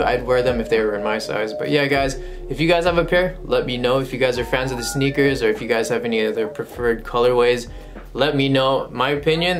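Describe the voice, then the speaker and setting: A man in his twenties giving a casual, slangy product review to camera in a laid-back way. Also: mid-range voice. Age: 20s. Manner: laid-back, slangy, addresses viewers as guys. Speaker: sneaker review host. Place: small furnished room at home, indoors. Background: background music with guitar.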